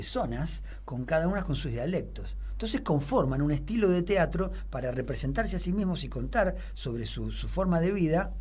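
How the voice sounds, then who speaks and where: A man's voice: on the high side, darkish tone, husky. A middle-aged man with a Rioplatense Spanish accent, a theater teacher explaining history on a radio show in a rambling way, full of fillers and restarts. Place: radio studio.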